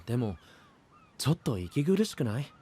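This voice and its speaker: Man playing a gentle, expressive young companion. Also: medium pitch, moderate pace, slight background noise, close to an everyday voice. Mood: uneasy. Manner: soft-hearted, cautious, questioning. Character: gentle, sensible, mildly exasperated young male travel companion. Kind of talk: animated Japanese voice drama acting.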